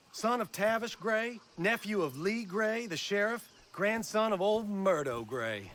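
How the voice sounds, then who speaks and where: An actor playing a lovesick young gentleman with a Southern US accent, earnest, dreamy and pleading. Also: sits high.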